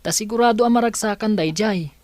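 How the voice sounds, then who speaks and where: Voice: mid-range voice, brisk, a little mellow, dry recording, lightly acted. A performer playing an earnest, insistent young student and devoted grandchild in a dramatic audio story. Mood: hopeful.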